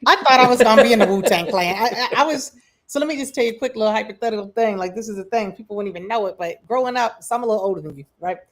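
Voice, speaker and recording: A woman in her forties with a Midwestern American accent, co-hosting a livestream chat in an animated, storytelling way, full of asides and fillers. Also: on the high side, racing. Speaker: livestream co-host. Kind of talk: casual chat.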